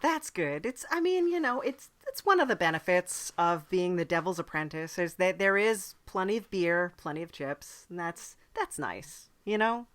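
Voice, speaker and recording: A woman hosts a casual, playful interview in a lowish voice. She is chatty and agreeable, with a hesitant delivery. The recording is dry, with no room sound. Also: very animated, moderate pace, hardly any pauses, no echo. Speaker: podcast host. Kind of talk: casual in-character comedy interview.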